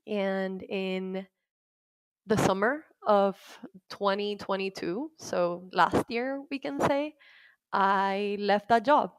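A woman in her thirties, telling her life story as an interview guest in a reflective, soft-spoken way, pausing to search for words. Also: medium pitch, fairly even intonation, clean tone, dry recording, low-quality recording. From Puerto Rico.

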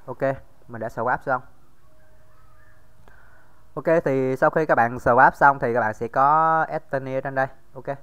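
Smooth-voiced man walking through a screen tutorial. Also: mid-range voice, a little slow, long pauses, a bit bright, muffled recording. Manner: casual, many fillers, addresses viewers directly. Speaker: crypto tutorial host. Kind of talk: screen-recorded tutorial walkthrough.

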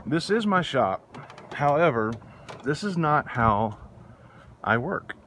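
A middle-aged man hosting a woodworking channel, giving a relaxed, rambling tour of his porch workshop. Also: on the high side, slow pace, a little hiss or hum. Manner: relaxed, rambling, with restarts and fillers. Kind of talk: casual shop tour. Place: outdoor front porch workshop under a canopy.

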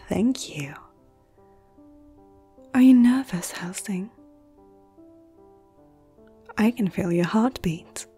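Slow, soft-spoken flirtatious vampire noblewoman, played by a woman. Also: mid-range voice, creaky, husky, heavily acted. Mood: tender, flirtatious. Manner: soft, intimate, teasing, often dropping to a whisper. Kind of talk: ASMR roleplay.

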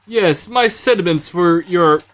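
A man's voice: sits high, animated, slow, a bit bright, heavily acted. Man playing a pompous, swooping-voiced comic captain. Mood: inquisitive, disapproving. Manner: stuffy, ending remarks with a verbal tic. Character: pompous, fussy, gun-loving old-fashioned captain. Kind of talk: comic audio drama acting.

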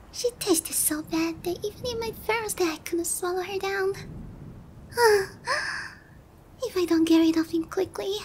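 A woman's voice: extremely high pitch, a little mellow, slight background noise. A woman voicing a haughty, flustered, cutesy young dragon girl in an anime ASMR roleplay, condescending yet hesitant. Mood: disgusted, reluctant. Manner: condescending, hesitant with giggles and murmured asides. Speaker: voice actor.

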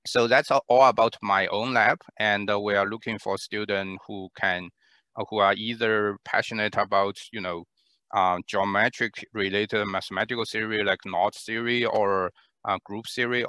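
A man, presenting his research lab in an online talk, halting with many fillers and restarts, on a headset mic in a small room. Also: on the low side, pushed and bright, no echo. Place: small room at home, indoors.

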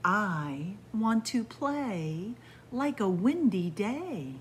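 A middle-aged woman librarian reading a picture book aloud to young children in a library, in a sing-song way with soft hushed asides. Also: mid-range voice, very animated, extremely slow, slight background noise. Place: library with bookshelves, indoors.

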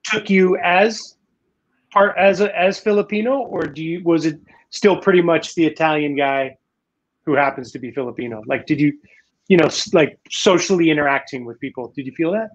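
A man's voice: fairly high pitch, normal speed. A man in his forties hosting a live-streamed interview from home, asking long, rambling questions full of restarts and "you know". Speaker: talk show host. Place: home room in Manila, indoors. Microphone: video call webcam. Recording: dull, lo-fi webcam audio.

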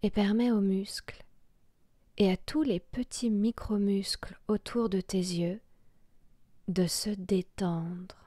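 Very slow, soft breathy woman. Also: mid-range voice, steady tone, no echo, clean studio sound. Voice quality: soft, breathy, half-whispered. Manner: slow, soothing, hushed delivery with long pauses. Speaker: yoga nidra guide. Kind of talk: guided relaxation meditation.

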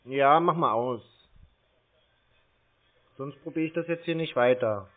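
A man on a phone call, slow and muddled, stubbornly complaining to the caller. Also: medium pitch. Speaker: call recipient stringing scammers along. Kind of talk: prank phone call with scammers. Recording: tinny, band-limited phone line.

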